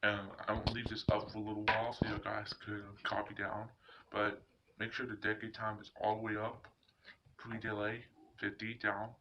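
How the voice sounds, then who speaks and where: A man's voice: lowish voice, monotone, moderate pace. A man hosting a casual screen tutorial, talking informally and hesitantly through each step. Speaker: tutorial video host. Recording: muffled lo-fi mic with loud noise and clicks.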